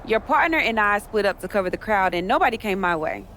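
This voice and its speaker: A woman's voice: medium pitch, lively intonation, quick pace, fairly bright tone, a little hiss or hum, natural voice. A woman playing a concerned, businesslike wrestler ally in a video game cutscene. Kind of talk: scripted game cutscene dialogue.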